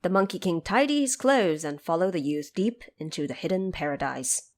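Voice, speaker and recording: Female narrator, lowish voice, lively melodic range, measured scripted storytelling with explanatory asides.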